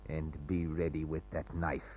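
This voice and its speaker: An actor playing a sinister, doddering foreign spy in an old radio thriller, outwardly polite, fussy and given to stammering and repeating himself. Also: male voice, very deep voice, completely flat delivery, a little mellow. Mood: menacing. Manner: stammering, repetitive, fussy, drawn-out phrasing. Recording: muffled, noisy old radio recording with hum.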